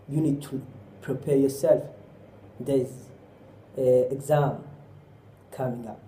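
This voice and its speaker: Male preacher, deep mellow tone, pressing questions, roomy echo. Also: a little hiss or hum. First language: Somali. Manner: exhorting with repeated rhetorical questions; often drops in Arabic phrases. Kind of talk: sermon.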